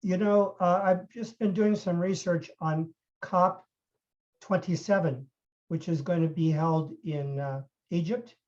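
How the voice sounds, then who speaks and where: Male interviewer, conversational but halting, on muffled lo-fi call audio. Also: sits high. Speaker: interviewer, university president. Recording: dull, band-limited call audio.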